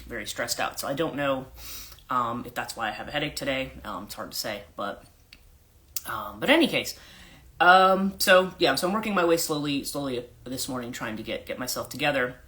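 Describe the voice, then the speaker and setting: A woman hosting a casual morning livestream, her voice husky and congested from allergies, rambling with fillers and frequent throat clearing. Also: expressive, brisk, fairly bright tone. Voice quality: husky, a register lower than usual. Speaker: life coach hosting a livestream.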